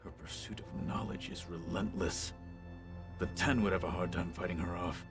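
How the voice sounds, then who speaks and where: Deep-voiced stoic warrior played by a man. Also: steady tone, over music, close to an everyday voice. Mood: dry, measured. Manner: measured, formal, solemn.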